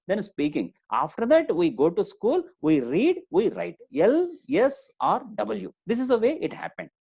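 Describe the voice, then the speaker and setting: A man's voice: high-pitched, a little mellow. A middle-aged man, a Telugu speaker, giving a live online lecture, repeating key points and quizzing his audience. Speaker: communication trainer.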